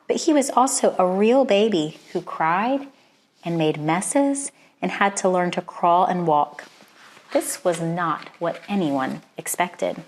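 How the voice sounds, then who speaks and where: A woman reading a children's storybook aloud as a storyteller, with expressive, deliberate phrasing and dramatic pauses. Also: fairly low pitch.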